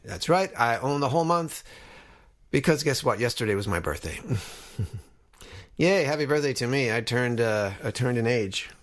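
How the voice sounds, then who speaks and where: A man's voice: medium pitch, lively intonation, normal speed, long pauses. Middle-aged man hosting a casual podcast. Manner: conversational, talks directly to listeners. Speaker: podcast host. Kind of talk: casual podcast introduction to a guest.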